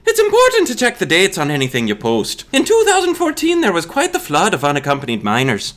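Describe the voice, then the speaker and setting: A man's voice: sits high, wildly swooping pitch, quick pace, fairly bright tone, full character voice. A man playing a mild doctor who reluctantly puts on an Irish accent in a scripted comedy explainer. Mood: earnest.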